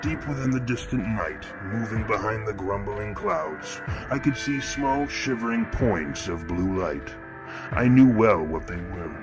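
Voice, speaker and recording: A low, deep voice as a sinister artist-murderer telling his own horror tale. He is pompous and florid, weighing his phrases with theatrical menace. Prominent music plays behind the narration. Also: unhurried. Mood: dark, suspenseful. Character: grandiose, sinister male killer who sees murder as art. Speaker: narrator of a horror fiction podcast. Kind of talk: scripted first-person horror narration, theatrical.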